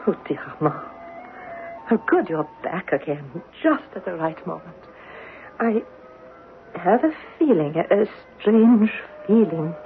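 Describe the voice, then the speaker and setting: A woman playing a wistful, theatrical stage actress haunted by foreboding, in old-time radio drama style with halting, dramatic delivery. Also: fairly low pitch, lively intonation. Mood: haunted, fearful. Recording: narrow-band old radio broadcast, faint music.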